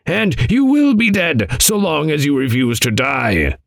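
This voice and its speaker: A man voicing a stern old raven mentor in an audiobook, croaking and solemn, with archaic, admonishing speech. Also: on the high side, sing-song, a little slow, fairly bright tone, no echo, studio quality, pitched above the performer's own voice, full character voice. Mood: grave, admonishing. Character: stern old raven guide, grave male riddling mentor. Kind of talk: audiobook character voice.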